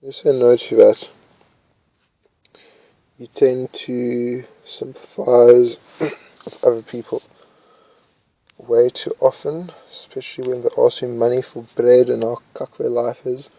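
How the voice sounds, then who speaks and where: A man's voice: medium pitch, flat delivery, takes it slowly, gravelly, pauses often, low-quality recording. A young man casually reading personality test questions aloud and answering them, mumbling and thinking aloud with hesitations. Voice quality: says his voice is loud.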